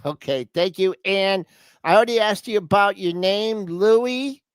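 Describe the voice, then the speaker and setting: A man hosting a casual live market show, lively and playful, asking long rambling questions. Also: high voice, unhurried, clean tone, dry recording, clean studio sound. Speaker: show host.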